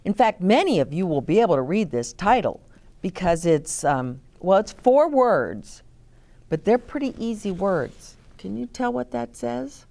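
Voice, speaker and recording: Woman reading to children, strikingly animated, unhurried. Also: medium pitch, creaky. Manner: expressive, explains simply, asks children questions. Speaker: children's story time host and reader. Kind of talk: read-aloud story time, chatting to young children.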